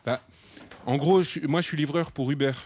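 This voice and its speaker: An actor playing a sheepish, self-justifying delivery rider in a radio drama, with a hesitant, stammering way of talking. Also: male voice, a little high, fairly quick, darkish tone, husky. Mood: aggrieved. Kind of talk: radio drama, naturalistic confession.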